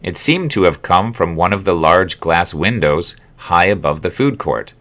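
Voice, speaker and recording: A man narrating his own memoir as an audiobook in a measured, wry storytelling manner. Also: deep voice, animated, a bit bright.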